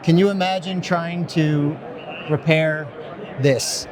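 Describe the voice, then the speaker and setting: A man calmly explaining technical equipment with frequent ums and uhs. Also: on the high side, crowd noise. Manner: measured, filler-heavy explanation. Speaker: technical expert.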